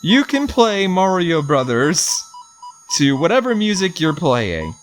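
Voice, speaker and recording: A man's voice: on the high side, wildly swooping pitch, a little slow, faint music behind. A man presenting a hands-on tech review, informally talking through each step as he goes. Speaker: video reviewer.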